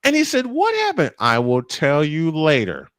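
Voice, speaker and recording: A man calling live baseball play-by-play and telling stories in an animated, emphatic way, stretching phrases for drama. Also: a little high, moderate pace, dry recording, studio quality. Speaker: play-by-play sportscaster. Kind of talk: live baseball commentary mixed with animated storytelling.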